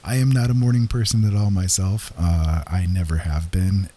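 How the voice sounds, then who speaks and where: A man chatting casually with live stream viewers in an easygoing, rambling way, halting with frequent pauses. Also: deep voice, steady tone, warm tone, slight background noise. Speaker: live streamer, digital painter and storyteller.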